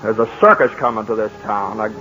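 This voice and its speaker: A cocky fast-talking pollster. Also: male voice, on the low side, sharp tone, soft background music. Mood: wry. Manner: urgent, pleading. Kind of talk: 1940s radio acting. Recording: dull old 1940s radio broadcast.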